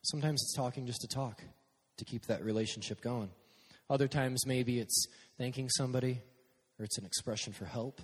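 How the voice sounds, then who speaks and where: Husky youth pastor preaching in a hall. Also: male voice, medium pitch, a little fast. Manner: casual, energetic preaching with sudden drops to quiet prayer. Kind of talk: youth sermon. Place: church hall with a live sound system. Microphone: stage microphone.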